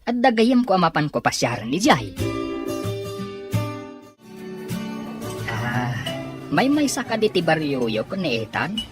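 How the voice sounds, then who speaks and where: A woman's voice: low-pitched, sing-song, moderate pace. A woman playing a chatty, obliging office underling in a serial drama, over music. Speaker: actor in a Filipino serial drama. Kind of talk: acted drama dialogue. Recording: music behind the voice.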